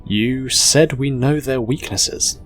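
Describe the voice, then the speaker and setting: A man's voice: medium pitch, slow pace, voice pushed up. A man voicing a loyal junior fleet officer in an audio war story, formal and respectful in manner. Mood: respectful, probing. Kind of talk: dramatic audio story character. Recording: music behind the voice. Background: music.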